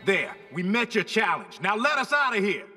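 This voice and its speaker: An actor playing a brash, boastful half-robot teenage superhero in a cartoon video game, slangy and punchy. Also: male voice, sits high, sing-song, moderate pace, over loud music. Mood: cocky.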